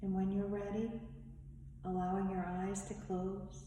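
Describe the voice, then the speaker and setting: In a very echoey, reverberant space, a woman guides a meditation at a very slow pace. She speaks in short, measured phrases with long pauses, and her delivery stays very even in pitch. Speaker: meditation and yoga teacher. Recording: echoey reverberant room, distant mic, faint noise.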